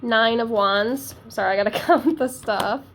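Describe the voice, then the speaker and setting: Woman with a stuffy cold, fairly high voice, rambling, casual tarot chat, faint background noise. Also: a little slow. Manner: rambling, talkative, self-deprecating. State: congested from a cold. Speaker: tarot reader. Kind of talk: casual chatty tarot reading.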